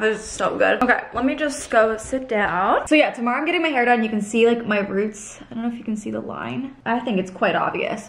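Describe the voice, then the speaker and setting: A woman's voice: fairly high pitch, roomy sound. A young woman in her twenties chatting casually in a vlog, bubbly and gushing, filmed on a handheld or tripod camera at home and out and about. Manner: bubbly, gushing, lots of "like" and "so cute". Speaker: vlogger. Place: home kitchen and outdoor canyon town.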